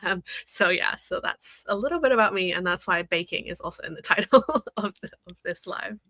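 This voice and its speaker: A woman's voice: fairly low pitch, a little fast, pushed and bright, no echo. A woman in her thirties from Hong Kong, a brand designer chatting as a guest on a live video call, laughing often. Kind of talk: casual interview chat.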